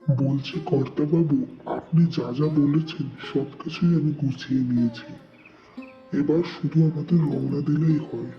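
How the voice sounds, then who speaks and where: A man's voice: a little high, fairly even intonation, a little slow, gravelly. A man playing a matter-of-fact village man in cartoon dialogue, recorded with echo and dull lo-fi sound. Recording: echoey, dull lo-fi sound, music behind.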